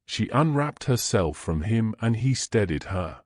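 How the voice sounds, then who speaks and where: A man's voice: on the low side, very animated, unhurried, no echo, studio quality. A man narrating a scripted fantasy story as its storyteller.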